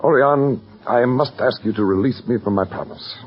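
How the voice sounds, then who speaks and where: An actor playing a guilty, hesitant suitor in old radio melodrama, husky and halting, earnestly apologetic. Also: male voice, medium pitch, close to an everyday voice. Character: guilt-ridden, hesitant suitor breaking off an engagement. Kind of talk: 1940s radio melodrama acting. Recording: muffled vintage radio recording, faint music.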